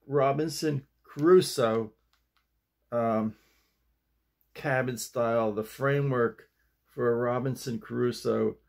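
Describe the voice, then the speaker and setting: An older man in his sixties or beyond chatting casually to camera in a folksy, halting way, leaning on repeated emphatic phrases. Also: medium pitch, vocal fry. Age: 60s or older. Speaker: vlog host and amateur playwright. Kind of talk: casual rambling chat to camera. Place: small home study, indoors.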